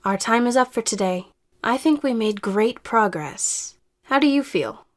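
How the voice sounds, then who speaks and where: A woman acting a patient, probing therapist who speaks in a measured, formal way in an audio drama. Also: on the high side, lively intonation. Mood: encouraging.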